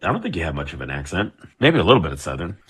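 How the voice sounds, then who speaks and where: Low, husky New Hampshire man. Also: huge pitch swings, fairly bright tone. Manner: swears freely, quick, joking. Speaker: podcast co-host. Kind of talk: casual chat.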